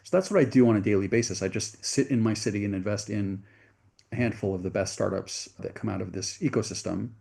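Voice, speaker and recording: A middle-aged man from the United States speaks as an interview guest, with a dark, mellow tone and a gravelly texture. He tells his story earnestly and at length, often correcting himself partway through a sentence. His voice sits fairly low. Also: quick pace. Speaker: venture capitalist guest.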